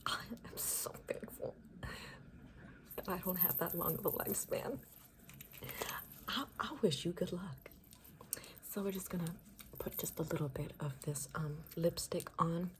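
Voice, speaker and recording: A woman doing an improvised ASMR makeover roleplay, mixing soft breathy whispers with murmured speech in a playful, rambling, soothing way. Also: lowish voice, steady tone, measured pace, long pauses.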